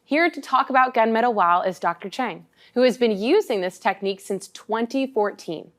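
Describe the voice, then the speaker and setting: A young adult woman hosting a studio talk show, upbeat and encouraging, often reacting with quick praise and laughs. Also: fairly high pitch, expressive. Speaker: host.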